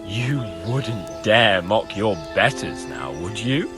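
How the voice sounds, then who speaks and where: A man's voice: lowish voice, sing-song, slow pace, over music, exaggerated voice. A man playing a boastful, pompous young nobleman in a medieval video game cutscene, mocking and full of theatrical exclamations. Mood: haughty.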